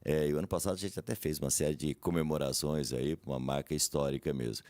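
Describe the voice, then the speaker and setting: A man's voice: extremely low pitch, steady tone, quick pace, darkish tone, no room sound. A man, a guest in a radio interview, talking in a rambling, hesitant way and tagging his sentences with "né?". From Brazil, grew up in São José dos Campos. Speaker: guest, company director.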